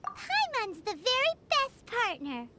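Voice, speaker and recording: A woman voicing a tiny, cheerful, cheeky fairy companion in a video game, with a squeaky childlike delivery and talking about herself in the third person. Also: huge pitch swings, takes it slowly, sharp tone, exaggerated voice. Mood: eager, proud. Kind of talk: video game character acting.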